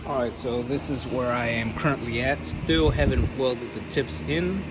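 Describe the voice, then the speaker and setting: A man's voice: medium pitch, normal speed, noisy background. A man giving a casual, unscripted DIY build walkthrough in a laid-back, plain-spoken, sweary way. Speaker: host of a DIY car build channel. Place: garage workshop.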